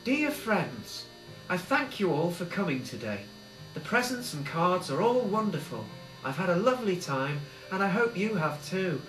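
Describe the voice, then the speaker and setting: A man's voice: sits high, very animated, normal speed, fairly bright tone, distant mic, faint music behind, voice pushed up. A man voicing a male cartoonish fruit character who declaims to his guests. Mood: declaiming. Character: Billy, male fruit, party host turned vengeful. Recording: echoey room, faint mains hum.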